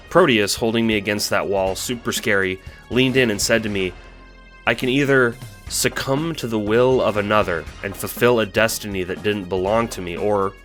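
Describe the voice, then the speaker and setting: Meek young troll guy male actor, low voice, nervous halting stammer, earnest audio-drama storytelling over music. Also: a bit bright. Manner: hesitant stammer, halting and apologetic. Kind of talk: audio drama monologue, recounting adventures as a log.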